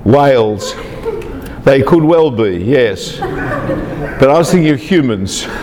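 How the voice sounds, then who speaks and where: A man's voice: mid-range voice, lively intonation, slow, in a large hall, a crowd in the background. A man from Australia, giving a lecture to a large group, chatty and teasing the audience.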